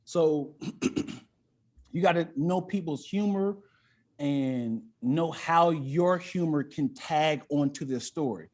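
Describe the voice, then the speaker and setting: A man's voice: fairly high pitch, slow pace, a little mellow. A man talking as a comedian guest on a podcast, casual and profane, often repeating words as he finds his way into a sentence.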